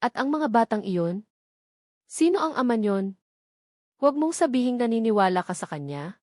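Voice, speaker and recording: A jealous Filipino fiancee , as a woman narrator acts her out, confronts her man in a dramatic storybook style. She is forceful and accusing, her pitch lifted and the sound dry. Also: sing-song, normal speed, dry recording. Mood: accusing, tearful.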